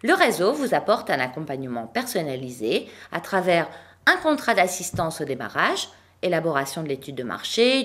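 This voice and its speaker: Woman news anchor, animated pitch, clear measured read, hosts interviews. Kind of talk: scripted news narration.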